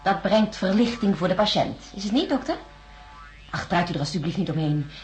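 An actress playing a troubled, guarded astronaut scientist in a science fiction radio drama, articulate and insistent. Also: female voice, on the low side, animated, brisk, a little mellow, soft background music, lightly acted. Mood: troubled, defiant.

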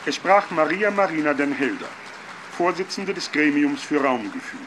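A man's voice: a little high, big pitch swings, normal speed, a bit bright, a faint crowd, a little hiss or hum, low-quality recording. A man playing a formal news announcer in a radio play.

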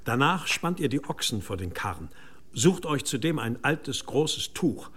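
An actor playing a stern older abbot in an audio drama, speaking gravely and deliberately with commanding authority. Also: male voice, mid-range voice, sing-song, fairly bright tone, exaggerated voice. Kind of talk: German audio drama acting.

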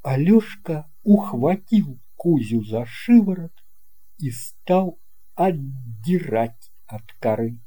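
Very slow, soft-toned male storyteller. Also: mid-range voice, slightly rough. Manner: unhurried, expressive storytelling. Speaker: audiobook narrator. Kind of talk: scripted narration of a children's tale.

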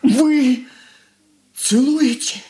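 An actor playing an earnest, hurt young son in a radio drama, speaking in measured, heartfelt phrases. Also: male voice, very high voice, warm tone, exaggerated voice. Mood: earnest reproach. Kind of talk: 1980s Soviet radio play acting.

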